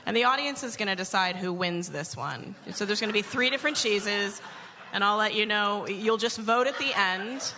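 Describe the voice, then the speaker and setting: A woman's voice: medium pitch, moderate pace, noisy background. A woman hosting a live variety show on stage in front of an audience. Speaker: radio show host.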